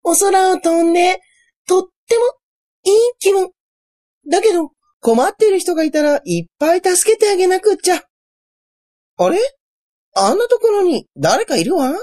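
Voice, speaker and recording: A woman's voice: very high voice, very animated, moderate pace, long pauses, mellow. A woman playing a cheerful, helpful young witch girl in a comic radio drama, with a cutesy, exclaiming manner.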